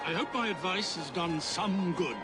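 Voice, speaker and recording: A man's voice: sits high, normal speed, close to an everyday voice. An actor playing a scornful Victorian British statesman in a video game, pompous and oratorical. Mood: pompous, dismissive. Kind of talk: video game cutscene acting. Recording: loud music behind the voice.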